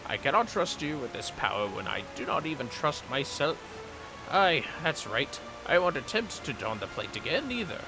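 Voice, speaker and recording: A man's voice: fairly high pitch, very animated, normal speed, over music, voice pushed up, low-quality recording. A man voicing a grave, anguished warrior mentor from a game, with solemn, theatrical, archaic oratory. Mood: anguished resolve. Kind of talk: dramatic reading of game dialogue.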